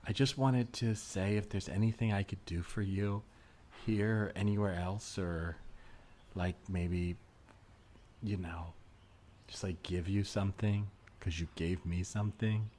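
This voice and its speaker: A man's voice: deep voice, fairly even intonation, a little slow, mellow, a little hiss or hum. A man playing a timid yet forward, smitten young woman in improvised comedy, speaking hesitantly and earnestly.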